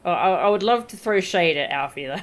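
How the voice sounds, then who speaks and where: A woman's voice: lowish voice, lively intonation, moderate pace. A woman streaming a game with casual, playful and wry commentary.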